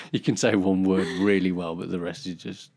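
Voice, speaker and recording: A man's voice: deep voice, sing-song, unhurried, creaky, darkish tone, slightly rough. A young British man in casual chat as a podcast co-host, easygoing with wry, dry understatement.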